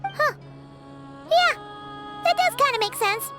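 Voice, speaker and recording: A woman voicing a lively, curious young girl companion in a video game, chatty and playful. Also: very high-pitched, takes it slowly, full character voice. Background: music.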